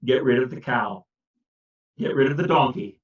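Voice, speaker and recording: A man voicing a calm old village wise man who gives slow, measured instructions in a slightly lower voice. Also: lightly acted. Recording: echoey, distant, dull sound.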